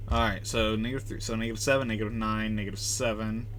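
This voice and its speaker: A man's voice: lowish voice, little pitch movement, quick pace, fairly bright tone, slight background noise. A man livestreaming a game, giving casual, chatty, joking commentary as he plays. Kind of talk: casual live commentary on gameplay.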